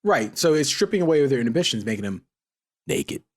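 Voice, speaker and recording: A man hosting a casual, joking podcast chat, who talks haltingly, repeats his words and chuckles as he goes. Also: medium pitch, very animated. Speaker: podcast host. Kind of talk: casual comedic panel chat.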